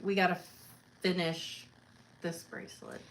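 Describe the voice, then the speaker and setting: Woman in her 30s, lowish voice, laid-back matter-of-fact chat on a live stream, faint hum behind. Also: huge pitch swings, measured pace, creaky, low-quality recording. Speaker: co-host of a cruise livestream. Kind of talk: casual livestream chat.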